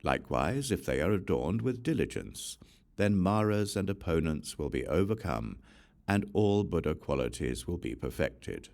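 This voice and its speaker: A man with a very low voice narrates scripture as an audiobook reader. His intonation rises and falls widely from phrase to phrase, with a gravelly creak underneath. The delivery stays solemn and measured. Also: big pitch swings, darkish tone.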